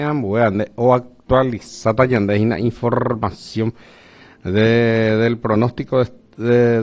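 A man's voice: mid-range voice, dull audio. A man with a Paraguayan accent presenting a radio weather report, mixing Guarani into his Spanish. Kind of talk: radio news chat.

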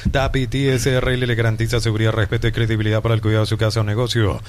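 Man, radio ad voice, very fast reads, faint noise behind. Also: lowish voice, flat delivery. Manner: rapid announcer delivery. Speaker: radio ad announcer. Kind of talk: scripted radio commercials.

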